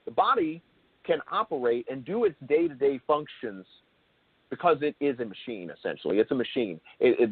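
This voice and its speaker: A man hosting an interview in an unscripted explanatory style, halting and rephrasing himself, with emphasis on key words. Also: medium pitch, husky, no room sound. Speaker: show host interviewing a guest. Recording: thin phone-like call audio.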